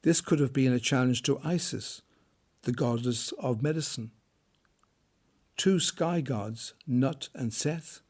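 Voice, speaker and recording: A man narrating a scripted biblical drama in a deliberate, solemn storytelling manner. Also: mid-range voice, a little mellow.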